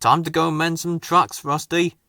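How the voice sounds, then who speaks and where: A man's voice: a little high, little pitch movement, normal speed, creaky, pushed and bright, no echo, clean studio sound. A man voicing a dutiful engine driver who announces and explains like a guide in a children's storybook. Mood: announcing.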